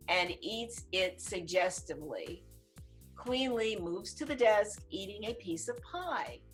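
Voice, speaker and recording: A woman's voice: medium pitch, poor audio. A woman narrating the stage directions of a screenplay in a scripted table read over a video call. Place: online video call reading.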